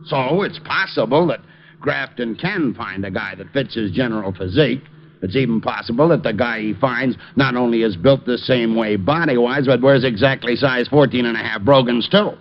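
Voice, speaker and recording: An actor as a sardonic American cop, muffled. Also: male voice, mid-range voice, moderate pace, close to an everyday voice. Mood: sarcastic explaining. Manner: sarcastic, needling. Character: sardonic, exasperated male homicide cop. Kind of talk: 1940s radio drama acting. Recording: muffled noisy old radio recording.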